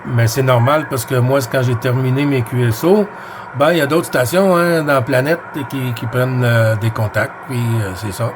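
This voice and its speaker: Quebec-accented man on a CB radio. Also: medium pitch. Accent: Quebec French. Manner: friendly, hesitant with many pauses. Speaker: CB radio operator, channel host. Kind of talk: casual radio chat. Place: home radio station. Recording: noisy radio-room recording.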